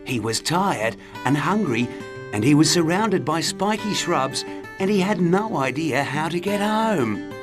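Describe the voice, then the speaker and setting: Woman storyteller, unhurried pace, very varied pitch, children's narration, plucked guitar music behind. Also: animated.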